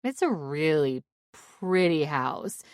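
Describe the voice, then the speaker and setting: A woman's voice: sits low, sing-song, slow, no room sound, clean studio sound, voice pushed down, heavily acted. Woman acting a drunk, brash young former cheerleader. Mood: excited slurred. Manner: sweary, rambling, dramatic.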